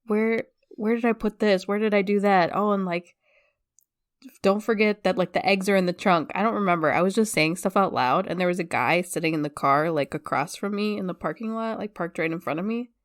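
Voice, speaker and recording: A woman's voice: medium pitch, fairly quick, dry recording, studio quality. A woman co-hosting a podcast in casual chat, telling stories with animation and laughing a lot. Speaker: podcast guest co-host. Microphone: headphones, studio mic.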